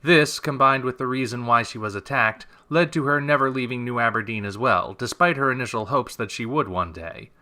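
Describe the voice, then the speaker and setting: A man reading scripted documentary-style narration in a measured, subdued, serious way. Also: mid-range voice, sharp tone.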